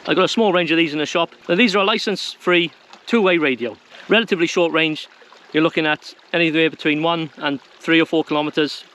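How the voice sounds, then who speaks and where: A man's voice: on the high side, quick pace, pushed and bright. A man presenting an informal how-to video to viewers in a plain-spoken, conversational way. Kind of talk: informal instructional talk to viewers.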